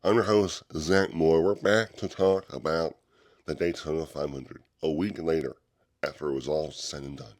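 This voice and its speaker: A man's voice: rumbling low, fairly even intonation, normal speed. Stuffy-nosed man hosting a podcast. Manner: rambling, loose delivery with many fillers and restarts. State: congested, stuffy nose from a cold. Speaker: podcast host. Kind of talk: casual solo podcast talk. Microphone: microphone held close to the mouth.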